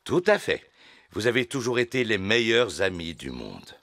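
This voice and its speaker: A man's voice: on the low side, big pitch swings, normal speed. A man voicing a big, jolly, good-naturedly boastful father pig in a children's cartoon. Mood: jovial. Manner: jovial, chuckling.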